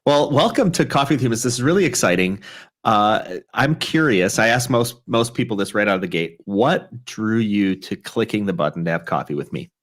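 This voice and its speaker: A man's voice: fairly low pitch, animated, moderate pace. A man hosting a casual live-streamed talk show, chatty and rambling with frequent restarts. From Chicago area.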